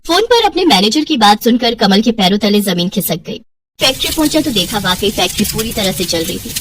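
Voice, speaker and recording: A woman's voice: a little high, distant mic, heavy background noise. A woman narrating a scripted moral story as a storyteller.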